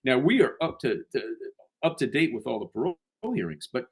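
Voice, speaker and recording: A man's voice: mid-range voice, very animated, a little fast, husky. A man, a guest author, talking in a casual interview with many false starts and repeated words.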